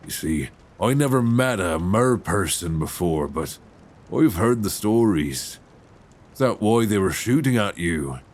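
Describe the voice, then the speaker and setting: A man playing a gentle but probing, folksy 19th-century lighthouse keeper in an intimate ASMR suspense roleplay, soft-spoken, halting and reassuring, close on a binaural mic. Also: expressive. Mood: quietly knowing. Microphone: close binaural microphone. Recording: faint low rumbling background noise.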